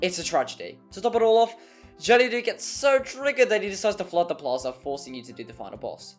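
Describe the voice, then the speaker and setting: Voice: mid-range voice, expressive, fast talker. Wry narrator doing a scripted game review, bit sweary and over the top, music behind. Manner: wry, mildly sweary, hyperbolic. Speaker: video essay narrator. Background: background music.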